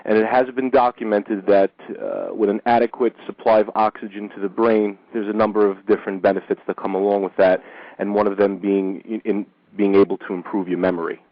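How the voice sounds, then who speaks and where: A man hosting a call-in radio show, speaking in a measured way and often repeating a word as he starts a phrase. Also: lowish voice, level tone. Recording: dull dry lo-fi radio recording.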